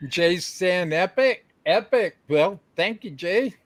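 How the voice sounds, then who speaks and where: Chill host guy reading the chat, talks slow, dry close sound, upbeat. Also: sits high, lively intonation, dry recording. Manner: upbeat, reads out chat messages, laughs often. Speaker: livestream show host. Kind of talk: casual live-stream interview chat.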